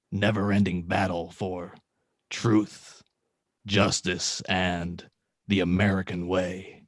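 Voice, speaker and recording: A man playing a grim, solemn masked vigilante hero in a script read-through, speaking in a grave, measured, restrained way. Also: low voice, no echo, studio quality. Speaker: table-read performer.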